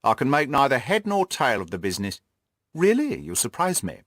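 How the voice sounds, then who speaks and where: A man voicing a gruff, irritable, cocksure police detective in an audiobook, blustering and snappish. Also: mid-range voice, huge pitch swings, fairly quick, fairly bright tone, dry recording, studio quality. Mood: frustrated. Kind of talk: audiobook character dialogue.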